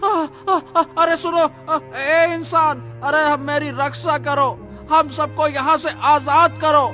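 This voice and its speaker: Captive prisoner for animated tale, male actor: extremely high, piercing, urgent, effusive delivery over faint music. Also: takes it slowly, full character voice. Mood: desperate pleading. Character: frightened, pleading captive human prisoner. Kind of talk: dramatic animated story dialogue.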